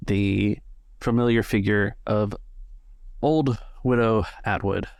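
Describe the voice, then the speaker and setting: A man's voice: fairly low pitch, steady tone, slow, vocal fry, no room sound, clean studio sound. A man narrating a tabletop roleplaying game as game master.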